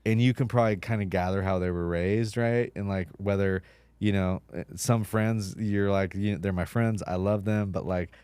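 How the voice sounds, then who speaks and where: A man's voice: deep voice, steady tone, moderate pace, a little mellow, clean tone, no room sound. A man in his thirties, a podcast guest whose first language is Spanish, talking casually with lots of likes and you-knows. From United States, Mexican immigrant mother.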